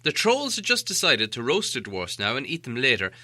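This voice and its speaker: A male audiobook narrator tells a story with theatrical flair. His voice is very bright and forceful, with animated pitch movement, and the sound is close and dry. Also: a little fast, dry recording. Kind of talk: expressive scripted storybook narration.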